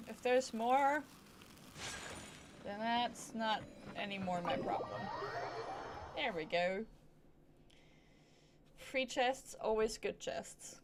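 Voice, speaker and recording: A woman's voice: mid-range voice, background noise. A woman livestreamer giving casual gameplay commentary with playful, offhand short reactions. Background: game music.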